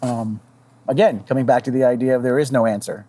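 A man speaking as a podcast panelist, thinking aloud haltingly in casual discussion. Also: mid-range voice, fairly even intonation, dark tone.

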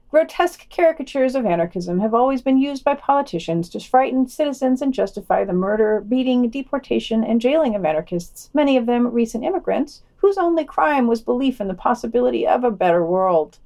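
A woman's voice: fairly high pitch, a little mellow. A woman reading scripted news items and long quotations for a podcast, dropping in wry asides.

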